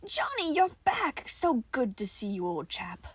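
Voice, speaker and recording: A woman voicing a delighted, unnaturally chipper wooden toy soldier with old-fashioned gentlemanly speech in an audio story. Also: high voice, huge pitch swings, unhurried, breathy edge, no room sound, pitched above the performer's own voice, heavily acted.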